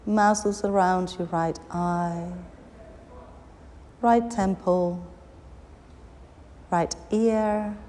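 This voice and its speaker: A woman guiding a yoga nidra meditation, giving soothing, unhurried instructions with long pauses between short phrases. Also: medium pitch, fairly even intonation, mellow. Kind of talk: guided meditation. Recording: long reverberant hall, background noise.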